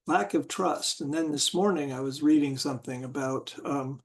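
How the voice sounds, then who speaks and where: A man in a casual group discussion, talking haltingly and searching for words with many restarts. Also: fairly high pitch.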